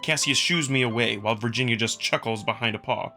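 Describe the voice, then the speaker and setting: A man's voice: medium pitch, a little fast, sharp tone, soft background music. A man reading dramatic scripted narration for a story. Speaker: narrator and reader of a visual novel.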